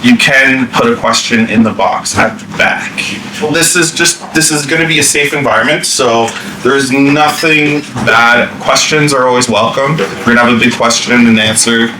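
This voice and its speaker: A man's voice: medium pitch, normal speed. A man leading a staff training workshop in a meeting room, speaking informally with many fillers and restarts. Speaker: workshop presenter. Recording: echoey room from a distance, faint hiss.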